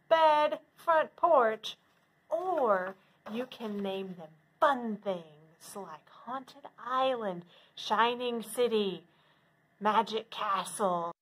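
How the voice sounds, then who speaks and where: A woman with a high, very lively voice talks to children as a librarian. She explains step by step in simple phrases at an unhurried pace. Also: rough recording. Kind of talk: instructional talk for children.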